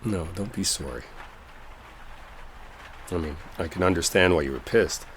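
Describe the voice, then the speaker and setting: Roleplay audio lead: male actor as smitten, sincere office colleague, deep voice, colloquial halting confession, faint rainstorm ambience behind. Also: a little hiss or hum, close to an everyday voice. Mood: tender, regretful. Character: earnest lovestruck male coworker clearing up a misunderstanding. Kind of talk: intimate dramatic roleplay audio, one-sided conversation.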